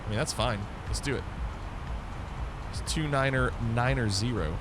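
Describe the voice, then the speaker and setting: A man's voice: mid-range voice, moderate pace, noisy background. Chatty man streaming a flight sim. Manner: chatty, reads and answers viewer chat between radio calls. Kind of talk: casual live-stream chat.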